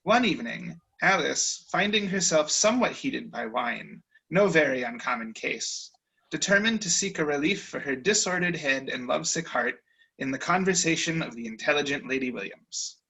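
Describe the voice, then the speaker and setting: A man narrating a comic period story in a wry, deliberate way over a video call. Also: fairly high pitch, lively intonation, gravelly, bright, forceful tone, breathy edge, rough recording.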